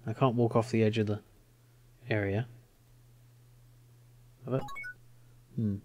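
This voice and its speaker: Gamer, male, British. Slow, halting casual commentary, thinking aloud in puzzlement. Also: lowish voice, flat delivery, mellow. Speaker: gamer commentating a let's play.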